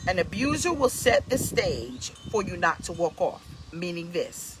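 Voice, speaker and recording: A woman's voice: fairly low pitch, very animated, measured pace, background noise. A woman in her fifties hosting a casual motivational talk, emphatic and preachy, repeating her key points. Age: 50s. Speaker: host, pastor.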